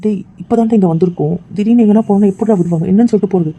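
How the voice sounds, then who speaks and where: A man's voice: sits high, very fast, warm tone. An actor playing a loyal, hot-headed young male friend who fires off incredulous, indignant questions. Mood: insistent. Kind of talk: emotional drama acting.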